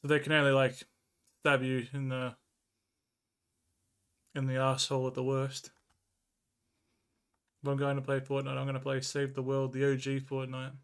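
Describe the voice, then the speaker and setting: A man's voice: mid-range voice, monotone, normal speed, pauses often, smooth. An Australian man streaming live, rambling casually as he reads and answers his chat and swears freely.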